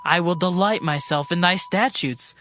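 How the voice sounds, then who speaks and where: High-voiced man reading scripture. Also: normal speed, bright, forceful tone, a little hiss or hum. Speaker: scripture reader. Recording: reading over a music bed.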